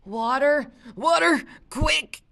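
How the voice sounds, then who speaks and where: A woman's voice: high voice, takes it slowly, gravelly, sharp tone, pitched above the performer's own voice, exaggerated voice. A woman acts out a brash teenage boy from an audiobook, the older brother who taunts and teases. The delivery is mocking and exaggerated, full of incredulity. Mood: taunting, melodramatic.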